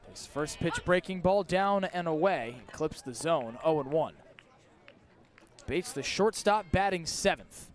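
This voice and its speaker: Higher-voiced man calling live baseball. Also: expressive, measured pace. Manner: clipped, descriptive calls that rise on action. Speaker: baseball play-by-play announcer. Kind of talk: live radio sports commentary. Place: outdoors at a ballpark.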